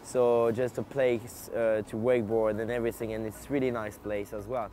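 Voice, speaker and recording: A young man with a French accent, speaking haltingly with many fillers, interviewed outdoors on stone steps. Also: fairly low pitch, little pitch movement, warm tone, slight background noise. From France. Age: young adult. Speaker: exchange student. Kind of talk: documentary interview.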